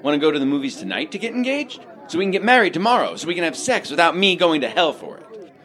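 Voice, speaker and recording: A man's voice: fairly high pitch, very animated, fast talker, fairly bright tone, a faint crowd. A man playing a smug, condescending small-town boyfriend, cheerfully patronizing, in a satirical radio play.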